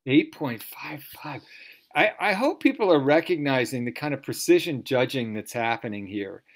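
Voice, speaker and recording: A man's voice: medium pitch, lively intonation, moderate pace. A man hosting an online story slam off the cuff, with wordy, playful mock-grand commentary. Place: online video event. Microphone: video call.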